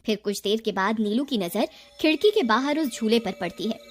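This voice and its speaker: A woman's voice: fairly high pitch, animated, moderate pace. A woman narrator reading a scripted horror story.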